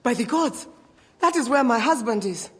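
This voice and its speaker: A woman's voice: a little high, animated, normal speed, fairly bright tone. A woman playing an exasperated but devoted wife and mother, brusque and weary, in a video game cutscene. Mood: shocked.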